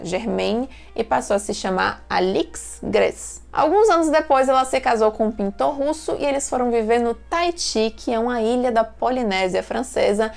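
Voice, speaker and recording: Creaky-voiced woman telling history chattily. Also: medium pitch. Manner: chatty, frequent "né?" tags, addresses viewers directly. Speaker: fashion history channel host. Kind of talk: casual storytelling explainer.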